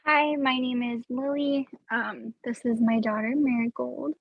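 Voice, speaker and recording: A woman introducing herself to an online workshop in a playful, chatty way, with a husky, gravelly voice. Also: a little high, fairly even intonation, unhurried, no echo, dull audio. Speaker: workshop participant. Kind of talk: casual self-introduction.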